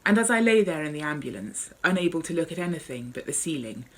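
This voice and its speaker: A woman's voice: low voice, very animated, fast, rough recording. A woman author speaking and reading from her memoir in a wry, understated way.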